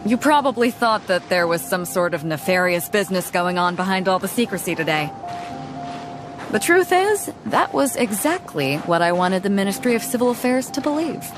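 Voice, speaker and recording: A woman voicing a confident, easygoing female ship captain in a video game cutscene, speaking in a relaxed, knowing way. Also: on the low side, lightly acted. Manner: relaxed, knowing, laughs often. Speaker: fleet captain. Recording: game music under the voice.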